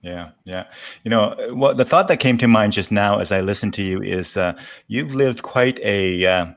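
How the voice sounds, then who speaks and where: A man's voice: fairly low pitch, normal speed, vocal fry. A man hosting a casual, reflective interview, speaking in a hesitant, rambling way. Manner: hesitant, rambling, reflective, with frequent murmured acknowledgments. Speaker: interview host.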